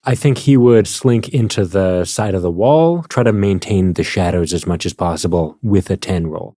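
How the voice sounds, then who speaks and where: A man's voice: sits low, moderate pace, warm tone, dry recording, clean studio sound. A man playing a tabletop game, casually describing his character's moves. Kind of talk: casual improvised roleplay narration.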